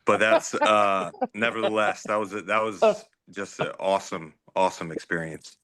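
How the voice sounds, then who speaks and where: A man's voice: fairly low pitch, moderate pace, sharp tone. A forty-year-old man chatting casually as a guest, informal and easygoing in his way of talking. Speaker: former ballplayer, guest. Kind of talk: casual chat.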